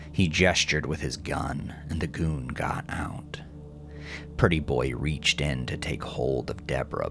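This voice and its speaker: A man narrating horror fiction in a gravelly voice, deliberate and dramatic, often dropping to a hush. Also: very deep voice. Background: music.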